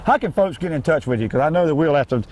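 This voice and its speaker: A man's voice: a little high, lively intonation, fast, a little mellow. A man hosting a folksy interview outdoors at a horse event, chatty with fillers. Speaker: show host.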